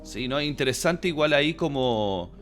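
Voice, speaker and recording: A man with a Chilean accent hosting a casual interview, phrasing haltingly with frequent tag questions. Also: medium pitch, animated, music in the background. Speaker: interviewer and host.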